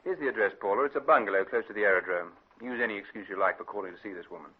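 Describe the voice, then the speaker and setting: An actor playing an authoritative private detective in a classic radio drama, with an English accent and a clipped, decisive delivery. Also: male voice, fairly low pitch, racing, slightly rough. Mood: businesslike. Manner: clipped, decisive, matter-of-fact. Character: brisk, authoritative British male private detective. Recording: dull vintage radio recording.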